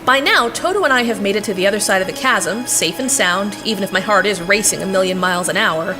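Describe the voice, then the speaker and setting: A woman playing a plucky, impatient girl heroine in an audio drama, wry and brisk. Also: mid-range voice, over music, natural voice. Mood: narrating, tense. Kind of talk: audio drama acting with narration.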